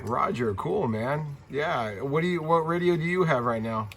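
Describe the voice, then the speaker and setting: A man's voice: a little high, lively intonation, normal speed. A laid-back, friendly man chatting casually as a CB radio operator, using radio jargon. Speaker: CB radio operator and YouTube channel host. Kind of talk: casual radio contact chat. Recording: loud radio static and hiss.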